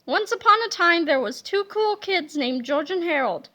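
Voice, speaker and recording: A woman reading a children's comic book aloud in a playful, animated way, slipping into silly voices. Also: very high-pitched, normal speed. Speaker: reader.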